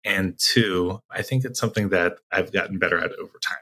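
A man's voice: deep voice, fairly quick, pushed and bright, breathy edge. A man answering interview questions as a sales executive guest, talking conversationally in a reflective, explanatory way.

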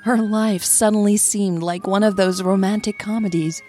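A woman's voice: mid-range voice, steady tone, moderate pace, dark tone, faint music behind. A woman narrating scripted romantic fiction as a storyteller.

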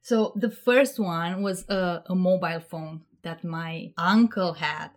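A woman's voice: on the low side, slow pace. A woman from Romania, talking casually as a podcast guest, laughing often and restarting her sentences with fillers. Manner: warm and giggly, with frequent fillers and restarts. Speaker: podcast guest, project management officer.

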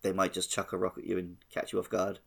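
A man with a fairly low voice co-hosts a gaming podcast. He talks in a casual, conversational style. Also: monotone, quick pace, vocal fry, a bit bright, breathy edge. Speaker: podcast co-host.

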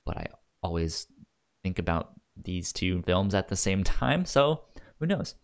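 A man co-hosting a casual film discussion podcast, speaking haltingly and often stammering over and repeating words. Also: deep voice, very animated, creaky, smooth. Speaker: podcast host.

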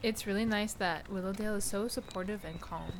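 A woman's voice: mid-range voice, brisk, slight background noise, natural voice. An actress playing a kind, slightly apologetic teenage girl in an audio drama. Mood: friendly.

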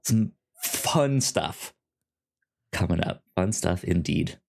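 Podcast host, casual chat, animated intonation, halting speech, repeated and stammered words. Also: sits low, creaky.

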